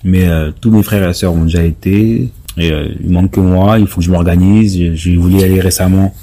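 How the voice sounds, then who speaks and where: A man in his thirties, born in France, answering interview questions as a member of parliament, halting with many fillers. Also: deep voice, steady tone, mellow. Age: 30s. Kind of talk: casual interview talk.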